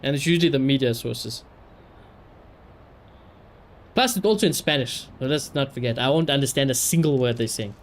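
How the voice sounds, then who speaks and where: A man giving casual livestream commentary in an animated, slangy, sweary way. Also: medium pitch, quick pace, a little hiss or hum. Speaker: live streamer.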